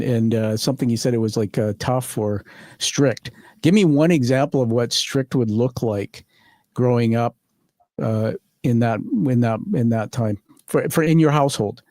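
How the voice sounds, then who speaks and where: A man's voice: medium pitch, a little mellow, dry recording, clean studio sound. A man hosting an interview in a conversational way, effusive and encouraging, drifting into rambling asides. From born on a military base in the UK. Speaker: podcast host.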